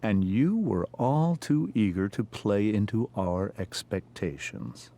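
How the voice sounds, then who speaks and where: A middle-aged American man speaks as a stern, pompous father and attorney. His low voice is gravelly, and it rises and falls widely as he delivers formal, measured pronouncements in a game drama. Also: expressive, warm tone. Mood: probing, guarded. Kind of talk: dramatic video game acting.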